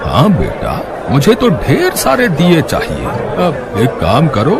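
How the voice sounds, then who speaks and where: A jovial, wealthy old gentleman from a storybook, acted by a man. His pitch swings widely as he speaks in a kindly, indulgent, drawn-out way. There is clear noise behind him. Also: wildly swooping pitch, a crowd in the background, heavily acted.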